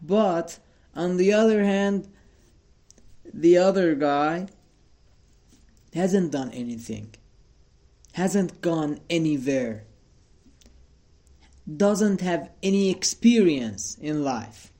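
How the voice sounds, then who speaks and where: A man in his twenties hosting a solo talk about a quote, speaking in a halting, repetitive way with many fillers and restarts. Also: fairly high pitch, expressive, warm tone. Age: 20s. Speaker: host of a quote podcast. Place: sitting at home in front of a mirror.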